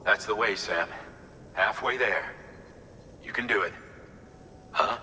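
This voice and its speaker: A man's voice: takes it slowly, pushed and bright, heavily acted. An actor playing an encouraging male comrade guiding a courier in a video game, with a casual manner. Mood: upbeat, encouraging. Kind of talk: video game cutscene acting. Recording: long reverb, faint rumble.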